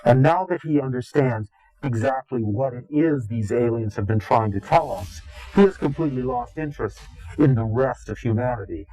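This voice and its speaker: A man giving interview testimony in a grave, deliberate, halting and portentous way. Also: medium pitch, dark tone, low-quality recording. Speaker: interviewee, claimed insider. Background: music.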